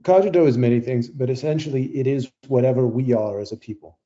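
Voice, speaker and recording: An actor playing a smug, zealous scientist who champions AI in an audio play debate, with a lofty, dismissive manner. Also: male voice, medium pitch, quick pace, warm tone, highs cut off, close to an everyday voice. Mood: lofty, assured. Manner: lofty, dismissive, self-assured.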